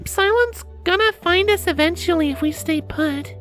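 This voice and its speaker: A woman's voice: extremely high pitch, normal speed, a bit bright, faint music behind. A woman voicing a timid, weary young runaway filly pony in a dramatised audiobook, with a childlike, uncertain manner. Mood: uneasy, resigned. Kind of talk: dramatised audiobook character acting.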